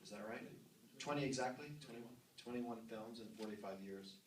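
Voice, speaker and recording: A man's voice: medium pitch, brisk. A man moderating an onstage interview, introducing his guest informally with hesitant, lightly joking phrasing. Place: festival stage with audience. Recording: echoey room, distant, some background noise.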